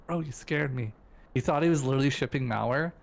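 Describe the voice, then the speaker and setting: A man's voice: mid-range voice, fast talker, creaky. A man who streams, chatting casually and joking around with a friend, swearing freely, from his streaming room at home. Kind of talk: casual live-stream chat.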